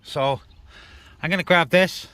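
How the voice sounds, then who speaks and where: A man's voice: on the high side, measured pace, sharp tone, clear, resonant tone, no room sound. A man chatting casually as a CB radio operator and vlogger, speaking outdoors on a hilltop. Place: outdoors on a hilltop, light wind.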